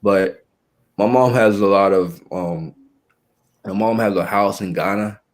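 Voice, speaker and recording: A young man talking casually as an interview guest, pausing and restarting often as he gathers his thoughts. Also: on the low side. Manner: halting, with frequent restarts and fillers.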